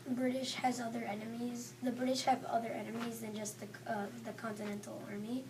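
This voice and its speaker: A woman's voice: on the high side, level tone, a little fast. A young female student arguing hesitantly in a classroom debate. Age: child or young student. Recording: distant mic, clearly noisy room.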